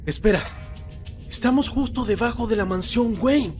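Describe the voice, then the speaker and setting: A man voices a high-pitched, talkative cartoon villain, excitable and a little cowardly. His wordy musings play over prominent music. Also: normal speed. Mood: fascinated. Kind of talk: animated fan film voice acting. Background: loud music.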